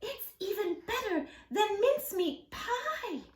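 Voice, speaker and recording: Woman as a cheerful high-voiced storybook mother fox. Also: big pitch swings, slow pace, echoey room, heavily acted. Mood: delighted. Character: happy, reassuring mother fox, practical and doting.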